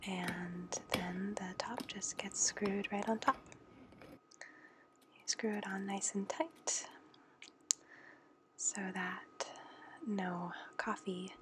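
Slow soft-whispering woman. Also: medium pitch, steady tone, background noise. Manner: gentle, unhurried, explains each step. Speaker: home coffee maker demonstrating. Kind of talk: whispered ASMR tutorial. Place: home kitchen, indoors.

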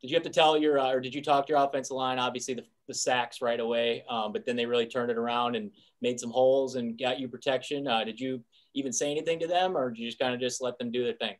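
A man, a sports reporter, asking questions at a press conference in quick, halting bursts with many "uh"s. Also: medium pitch, fairly even intonation, poor audio.

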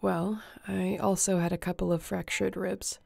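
Casting: actress for tender, guilt-ridden female space captain, heavy vocal fry, halting emotional delivery, sci-fi roleplay. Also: medium pitch, level tone, darkish tone. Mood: gentle, reassuring. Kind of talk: sci-fi audio roleplay acting.

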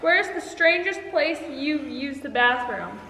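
Young girl chatting in a big barn, super animated, echoey and noisy. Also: high-pitched, unhurried. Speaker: family vlog participant. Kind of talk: casual chat during a family game. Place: large indoor riding arena. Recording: echoey indoor arena, noisy ambience.

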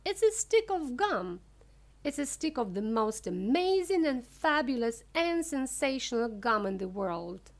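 A woman voicing an eccentric, excitable male candy inventor, proud and emphatic as a showman, in a storybook reading. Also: high-pitched, a little slow. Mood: thrilled.

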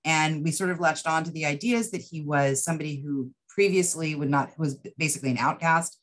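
A woman's voice: deep voice, brisk. A middle-aged woman, an interview guest, explaining her writing in a thoughtful conversational way on a video call. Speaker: interview guest, writer and producer. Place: indoors, book-lined room, video call.

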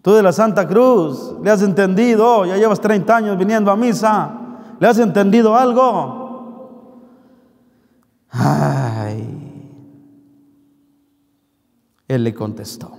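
Middle-aged Mexican priest, lively homily with teasing rhetorical questions; slightly high voice, handheld mic in a reverberant church. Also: male voice, slow pace, long pauses. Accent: Mexican Spanish. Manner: animated, joking, scolding with rhetorical questions. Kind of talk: homily at Mass. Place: large church, indoors. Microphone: handheld microphone.

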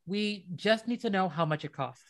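An actor playing a weary, practical husband in a radio play, casual and resigned. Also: male voice, high voice, lively intonation, moderate pace, fairly bright tone. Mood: businesslike. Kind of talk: naturalistic radio play acting.

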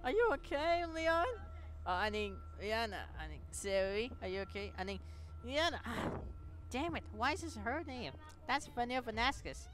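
A woman's voice: on the high side, lively intonation, moderate pace. A woman giving dramatic, reactive let's play commentary, often repeating phrases and reading game text aloud. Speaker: gaming streamer. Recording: music behind the voice.

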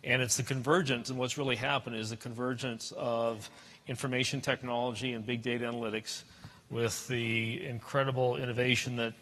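A middle-aged man speaking as a panelist in a modest, halting way. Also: mid-range voice, big-room sound, slight background noise. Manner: modest, halting, even delivery. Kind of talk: panel discussion. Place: conference stage in a large hall. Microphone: lapel.

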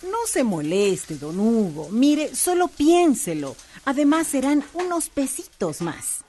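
A woman's voice: high voice, big pitch swings, slow, mellow, slight background noise, full character voice. A woman playing a patient, persuasive police corporal in a radio soap, courteous and earnest.

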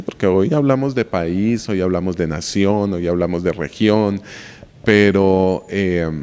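A deep-voiced man in his forties explains things at an unhurried pace, often checking that the listener follows. He talks into a handheld interview mic inside an old house, and the room adds a long reverb tail. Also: darkish tone. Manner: reflective, explanatory, frequent "¿sí?" checks and restarts. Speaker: university lecturer and museum guide. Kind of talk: interview answers. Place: indoor room of a colonial house museum. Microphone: handheld mic held by interviewer.

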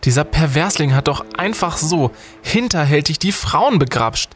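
An actor playing a pompous, eager young student detective leader in an audio drama, with bookish wording. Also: male voice, on the high side, expressive, moderate pace, fairly bright tone, soft background music. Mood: eager, indignant.

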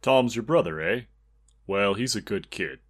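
A man's voice: fairly low pitch, animated, unhurried. A man playing a falsely friendly, probing and intimidating policeman villain in an audiobook. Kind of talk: audiobook character dialogue.

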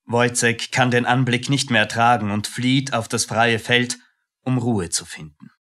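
A man narrates a radio play from a script. His voice is medium in pitch with a bright, forceful edge. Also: steady tone, measured pace.

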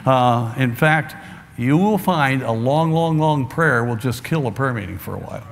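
A middle-aged man preaching from a church pulpit, exhorting the congregation earnestly and often dropping to a quieter tone. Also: mid-range voice, expressive, moderate pace, vocal fry, slight background noise. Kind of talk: sermon. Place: large church sanctuary, behind a wooden pulpit. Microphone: pulpit microphone. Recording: long hall reverb in a large sanctuary.

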